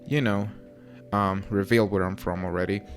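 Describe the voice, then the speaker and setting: A young man from Mexico in his late teens with a Mexican accent softened by British touches, chatting casually and answering viewer questions with a relaxed, self-deprecating manner. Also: lowish voice, normal speed. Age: young adult. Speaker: YouTuber. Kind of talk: casual Q&A to camera. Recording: faint music underneath.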